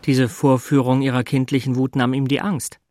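An actor playing Thomas, a sober, slightly awkward IT consultant, in a literary audio drama with a hesitant manner. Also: male voice, medium pitch, flat delivery, moderate pace, darkish tone, dry recording, close to an everyday voice. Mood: reflective.

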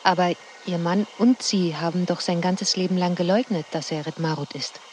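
An actress playing a determined, insistent documentary researcher in a German radio drama, speaking precisely. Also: female voice, on the low side, fairly even intonation, normal speed, a little mellow, a little hiss or hum, lightly acted. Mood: probing.

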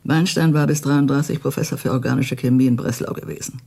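An actress playing a grave, embittered elderly widow in a radio drama, with a husky, gravelly voice. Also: female voice, rumbling low, fairly even intonation, fast talker, dark tone, full character voice. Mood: grave, sorrowful. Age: older. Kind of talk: naturalistic radio drama acting.

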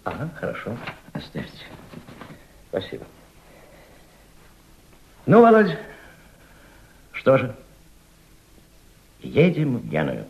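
An actor in a Soviet radio drama playing a thoughtful, courteous senior diplomat who speaks musingly, with pauses. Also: male voice, medium pitch, huge pitch swings, gravelly, exaggerated voice. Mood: warm, welcoming. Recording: dull, band-limited old radio recording.

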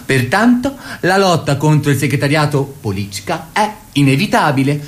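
A man's voice: on the high side, close to an everyday voice. Man as doctrinaire, declamatory party ideologue. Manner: declamatory, didactic. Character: doctrinaire male party ideologue, measured and calculating. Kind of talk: Italian radio theatre, political oratory.

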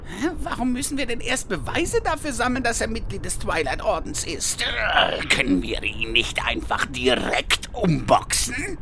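A man's voice: high-pitched, very animated, unhurried, gravelly, hardly any pauses, bright, forceful tone, a little hiss or hum, heavily acted. A man playing a cocky, short-tempered online-game troublemaker in a comedy audio play, with a brash, slangy way of talking. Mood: impatient.

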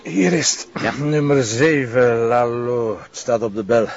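An actor playing a cheeky, rule-bending Flemish police detective in a TV drama, with an offhand, wry delivery. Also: male voice, mid-range voice, a little slow, lightly acted. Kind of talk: naturalistic TV drama acting. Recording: dull TV audio, faint music behind.

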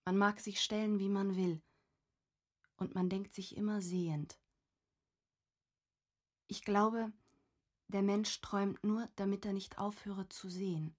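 A thoughtful young woman shares her private diary in a literary radio play. Her medium-pitched voice is earnest and contemplative, with measured phrasing. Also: steady tone. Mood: pensive.

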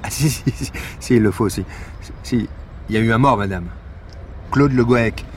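An actor playing a weary, dry-humored police investigator in a naturalistic audio drama, speaking in a hesitant, understated way. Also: male voice, medium pitch, moderate pace, slight background noise, natural voice. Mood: awkward, polite. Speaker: actor in a fiction podcast.

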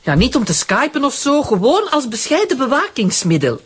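A woman's voice: high voice, big pitch swings, normal speed. A woman playing a guarded widowed surgeon in a radio drama. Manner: guarded, defensive. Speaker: surgeon, murder victim's widow. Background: music.

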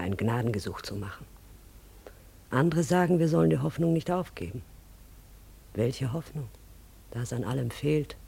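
A husky, gravelly male voice as a poor, despairing soul in a radio play. He laments wearily, as though reading out a letter of lost hope. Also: mid-range voice, darkish tone. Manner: lamenting, weary. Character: destitute, despairing letter writer, weary, mourning. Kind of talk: German radio play monologue.

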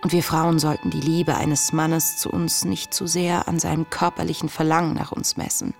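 Radio drama diarist: young woman, searching and contemplative, deep register with plenty of fry. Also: flat delivery. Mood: solemn, reflective. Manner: contemplative, measured, intimate. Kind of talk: radio play, diary read as monologue. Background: music.

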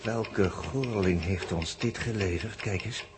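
An actor playing a grumbling, sarcastic spaceship technician in 1960s Dutch radio drama, with a Netherlands accent. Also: male voice, low-pitched, a little slow. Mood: grumbling, tense. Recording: noisy old 1960s radio recording.

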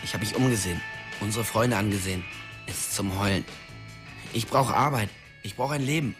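A man's voice: fairly low pitch, a little fast, over music, lightly acted. An actor playing a frustrated, bitter, restless young man in a radio drama. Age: young adult.

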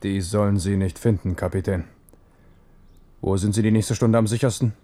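A man's voice: fairly low pitch, steady tone, normal speed, warm tone, lightly acted. An actor playing an aging, generous and resolute French king in a German radio drama. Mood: magnanimous, commanding.

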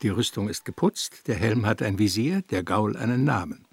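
An actor playing the storyteller of a knightly adventure, reading literary scripted narration in a radio play. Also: male voice, fairly low pitch, lively intonation, normal speed, breathy edge, no room sound. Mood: matter-of-fact. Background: music.

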